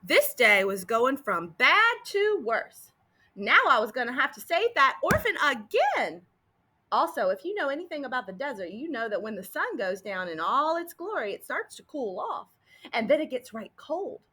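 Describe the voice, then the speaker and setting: A woman plays a boastful, none-too-bright cowboy sheriff from a storybook. The voice is high and bright and swings widely in pitch. The American Old West talk is drawled and folksy, with every line played up as a tall tale. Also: very animated, moderate pace, husky, exaggerated voice. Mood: folksy storytelling.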